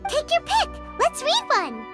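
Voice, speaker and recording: A woman voicing a small childlike fairy companion in a video game, perky and eager, with a squeaky, chirpy voice. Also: wildly swooping pitch, unhurried, heavily acted. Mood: perky, curious. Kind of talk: video game character acting. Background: background music.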